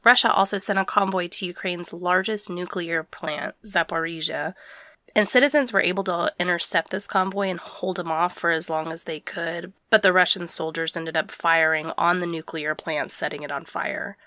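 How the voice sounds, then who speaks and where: A woman's voice: lowish voice, steady tone, moderate pace, sharp tone, no echo, studio quality. A woman hosting a podcast, casually recapping the news in plain, conversational terms. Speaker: podcast host.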